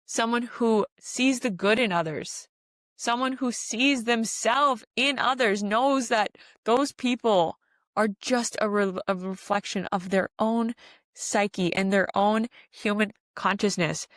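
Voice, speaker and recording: A woman's voice: fairly high pitch, takes it slowly, a bit bright, no echo. A woman giving an informal spiritual self-help monologue as a podcast host, speaking earnestly and repeating phrases for emphasis.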